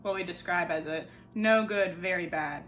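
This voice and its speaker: A young woman teacher reading a picture book aloud to her class from home, with expressive storybook delivery and dramatic pauses. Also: on the low side, a little hiss or hum. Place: home living room.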